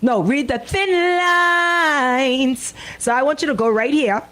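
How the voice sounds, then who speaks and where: A Bahamian woman with a fairly high voice hosts a live radio show. She speaks in an emphatic, conversational way and repeats key phrases to press her point. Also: slow pace, sharp tone. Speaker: radio talk show host. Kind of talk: live radio call-in and banter. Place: radio studio.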